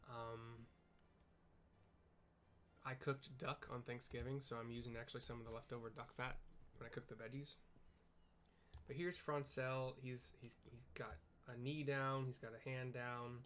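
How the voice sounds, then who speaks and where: A man streaming a video game, casually describing scenes and chatting in halting, piecemeal phrases. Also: medium pitch, little pitch movement, a bit bright, a little hiss or hum. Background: video game music.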